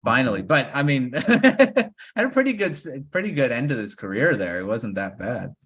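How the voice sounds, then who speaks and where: A man hosting a casual podcast roundtable, relaxed and quick to chuckle. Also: medium pitch, breathy edge. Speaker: podcast host.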